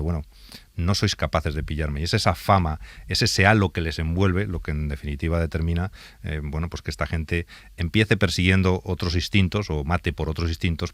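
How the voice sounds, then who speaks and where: A police inspector from Spain with a Spanish accent, answering interview questions hesitantly, with many fillers. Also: male voice, low voice, no room sound.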